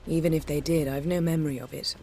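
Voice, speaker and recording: Wry, commanding game sorceress. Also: female voice, deep voice, fairly even intonation, fast talker, dark tone, a little hiss or hum. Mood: reflective, softened. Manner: dry, sardonic, articulate. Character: commanding, wry sorceress, shrewd and self-assured. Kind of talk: video game cutscene acting.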